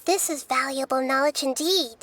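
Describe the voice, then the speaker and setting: High-voiced, gentle little goddess played by a woman. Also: moderate pace, noisy background, natural voice. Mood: calm, concerned. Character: gentle wise small child goddess, calm and thoughtful. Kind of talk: video game dialogue acting.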